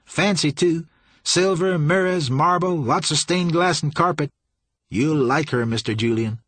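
A man voicing a crude, eager male henchman in an audiobook, boastful and folksy in his rough speech. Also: a little high, unhurried, fairly bright tone, a little hiss or hum, pitched above the performer's own voice. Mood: eager boasting. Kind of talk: audiobook character acting.

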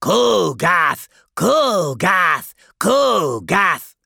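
Gravelly, zealous demon herald. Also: male voice, mid-range voice, sing-song, sharp tone, no room sound, clean studio sound, pitched above the performer's own voice, exaggerated voice. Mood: fervent chanting. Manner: declamatory, drawn-out exhortation. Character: gleeful, fawning plague demon herald, theatrical and zealous. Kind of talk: dramatic fantasy audiobook character voice.

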